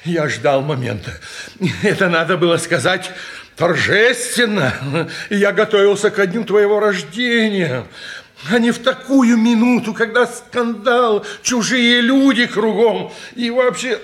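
An actor playing a flustered, sentimental old gentleman, pompous and stammering, in a 1950s Russian stage drama. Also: sits high, measured pace. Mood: wounded, lamenting. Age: older.

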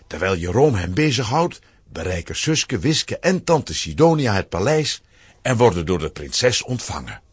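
A male narrator telling a children's adventure tale with a Flemish accent. His lowish, husky voice moves with a wide, expressive range. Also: normal speed, no echo. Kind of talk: scripted storytelling narration for a children's audio drama.

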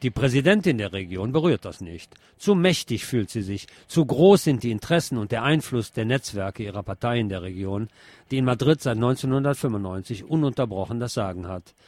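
A man's voice: medium pitch, animated, fairly quick, darkish tone. A man hosting a program, reading press articles aloud and adding brief comments of his own.

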